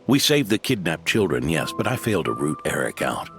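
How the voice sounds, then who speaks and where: Deep-voiced weary old knight. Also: male voice, big pitch swings, fairly quick, fairly bright tone, soft background music, exaggerated voice. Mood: regretful. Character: aging male knight, weary, modest, grandfatherly mentor. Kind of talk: video game voice acting.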